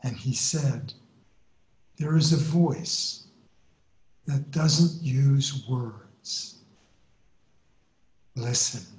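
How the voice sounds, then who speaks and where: Male meditation co-host, solemn and unhurried poetic delivery, measured pauses, echoing room sound. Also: medium pitch, darkish tone. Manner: measured, reverent, with long pauses. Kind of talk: slow, solemn poetry recitation and meditation welcome.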